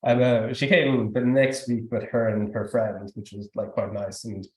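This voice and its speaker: A man's voice: lowish voice, little pitch movement, moderate pace, darkish tone. A young man with an Irish accent giving a rambling, informal testimony at a youth service, full of fillers and restarts. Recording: echoey room.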